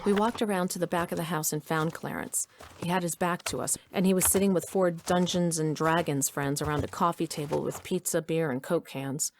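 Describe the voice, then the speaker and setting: A woman's voice: deep voice, fairly even intonation, fairly quick, few pauses, very noisy. A middle-aged woman playing a determined, wry mother who narrates her own adventure in an audio drama. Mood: measured storytelling. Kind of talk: first-person scripted narration.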